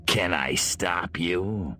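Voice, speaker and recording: A man voicing a surly, trigger-happy alien invader in a video game, gravelly and sardonic. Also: deep voice, pushed and bright, slight background noise, heavily acted. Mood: sardonic, grumbling. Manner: sardonic, slow drawl. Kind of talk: video game cutscene acting.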